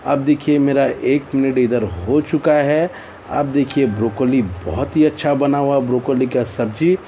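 A man's voice: mid-range voice, measured pace, warm tone, a little hiss or hum. A man with an Indian accent narrates a recipe tutorial as a cooking host, in a plain, instructional way with repeated phrasing.

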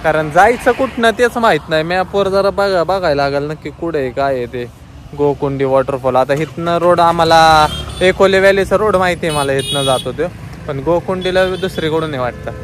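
A man's voice: fairly high pitch, moderate pace. Chatty young man vlogging on a mountain road. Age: 20s. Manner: chatty, joking, excited. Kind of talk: casual travel vlog. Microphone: handheld camera at arm's length. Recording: outdoor recording with loud traffic.

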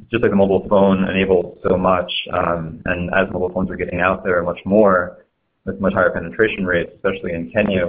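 A man presenting on a webinar, speaking in a halting way with frequent fillers and restarts. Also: low-pitched. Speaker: webinar panelist. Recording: tinny phone line.

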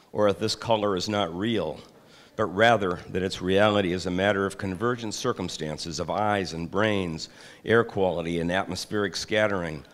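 Older man with a deep, measured voice. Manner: measured, deliberate reading with some hesitant asides. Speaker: author giving a reading. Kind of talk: reading his essay aloud to an audience. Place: large hall with high ceiling, seated before a projection screen.

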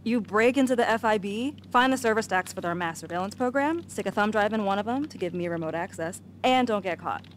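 A woman's voice: mid-range voice, animated, fairly quick, hardly any pauses, a little hiss or hum, lightly acted. An actress playing a young female hacker in a video game, slangy, sardonic and matter-of-fact. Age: young adult. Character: dry, casual young female tech hacker. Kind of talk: video game cutscene acting.

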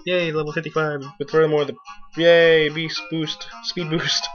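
A young man giving casual, excitable let's play commentary, exclaiming and talking to the game and himself. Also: on the high side, moderate pace. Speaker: gaming video host. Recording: muffled lo-fi mic, game music behind. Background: video game music.

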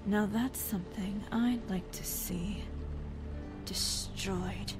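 A woman plays a cold, remorseless hunter of vampires in a fantasy game. The delivery is slow, brooding and deliberate at middle pitch, with music clearly behind it. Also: fairly even intonation, lightly acted. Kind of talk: video game dub acting.

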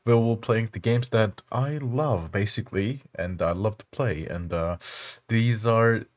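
A young Turkish man with a Turkish accent, speaking English as a second language, hosting casual gameplay commentary with many pauses and fillers. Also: on the low side. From Turkey. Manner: halting, many fillers and restarts. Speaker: channel host.

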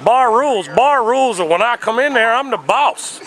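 High-pitched bossy crank caller played by a man. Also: animated, moderate pace, a bit bright, soft background music. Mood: bossy, rambling. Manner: demanding, badgering, absurd insults. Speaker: prank caller. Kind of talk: improvised prank phone call. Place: outdoors on a city sidewalk. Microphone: handheld camera up close. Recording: noisy outdoor street with traffic.

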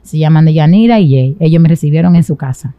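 A woman's voice: sits low, quick pace, mellow, dry recording. Young Dominican woman, dry muffled recording. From Dominican Republic. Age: young adult. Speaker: interview guest. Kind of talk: personal storytelling in an interview. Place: small indoor studio set with a sofa. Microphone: boom-arm microphone close to the mouth.

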